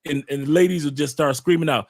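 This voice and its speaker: A man's voice: on the high side, quick pace, no echo. A man, a guest on an online show, talking casually and animatedly, repeating phrases and restarting sentences as he tells stories. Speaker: guest, YouTube channel creator and author. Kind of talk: casual conversational interview. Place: home in Colombia.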